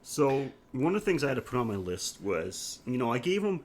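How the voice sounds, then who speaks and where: A man's voice: mid-range voice, very animated, brisk. A man co-hosting a podcast, chatting casually through a film review and laughing easily as he talks. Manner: laughs easily while talking. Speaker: podcast host.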